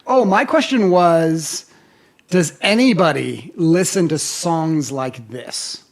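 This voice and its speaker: A man's voice: on the high side, takes it slowly. A man co-hosting a casual podcast, answering in short teasing replies. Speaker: podcast co-host. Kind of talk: casual chat.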